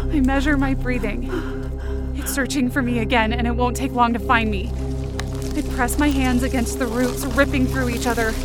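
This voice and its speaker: A woman's voice: a little high, lightly acted. A woman playing a frightened but determined young woman, telling a supernatural ordeal in tense, breathless first-person audio fiction. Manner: tense, breathless, intense present-tense storytelling. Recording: music bed under the voice. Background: dramatic music.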